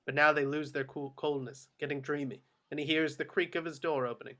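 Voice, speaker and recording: A man reads out stage directions for a table read. His voice is on the high side for a man, in a scripted narration style. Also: fairly even intonation, fairly quick, sharp tone.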